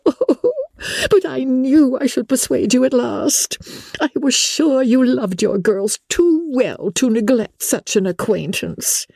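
A woman voicing an excitable, querulous middle-aged mother in an audiobook of a classic novel, shrill, gushing and complaining. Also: measured pace, slightly rough, dry recording, heavily acted. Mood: overjoyed, gushing.

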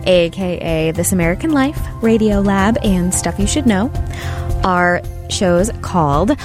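A woman's voice: lowish voice, animated, unhurried. A woman hosting a podcast, narrating and asking questions in a conversational way. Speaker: podcast host. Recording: music bed under the voice. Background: music.

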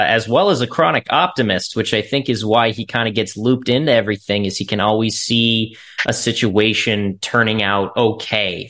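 A man's voice: fairly low pitch, a bit bright. A man, an actor, answering conversationally as an interview guest.

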